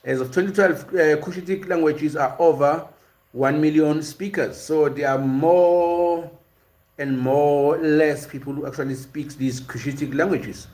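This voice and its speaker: Male host, slightly high voice, unscripted live lecture, stop-start delivery with many fillers. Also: fairly even intonation, measured pace, a little mellow. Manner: explanatory, hesitant, with frequent "uh" and repeated words. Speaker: host of a language and culture series. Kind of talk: live-stream lecture, reading chat and answering viewers.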